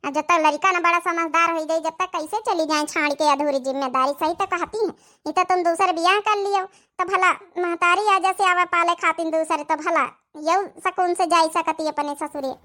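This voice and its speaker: A woman's voice: very high voice, moderate pace, sharp tone. A woman voicing a practical village sister-in-law in a cartoon, giving persuasive advice in an Awadhi accent. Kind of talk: dramatic cartoon dialogue in dialect.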